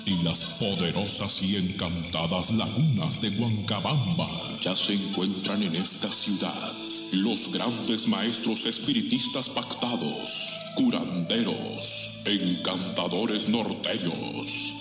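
A man announcing a radio ad in a solemn, dramatic way. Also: fairly low pitch. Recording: echoey radio ad over music.

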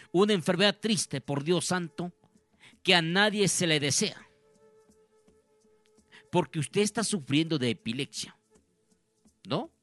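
A man's voice: a little high, fairly bright tone, no echo. A man addressing radio listeners live as a host and healer, in an earnest, repetitive, preacher-like way.

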